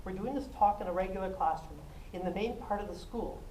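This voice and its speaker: A woman giving a self-defense talk, animated and jokey, often laughing and acting out what people said. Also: mid-range voice, fast. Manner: animated, jokey, laughs a lot, acts out quotes. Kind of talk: lively storytelling talk to a class. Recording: echoey room, mic at a distance, faint noise.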